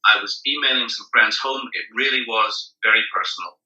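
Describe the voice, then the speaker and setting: A man with a Northern Irish accent telling casual anecdotes in a halting, wry way. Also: fairly low pitch, bright, forceful tone. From Northern Ireland. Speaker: interview guest, travel writer and magazine editor. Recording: roomy, distant, slightly dull microphone.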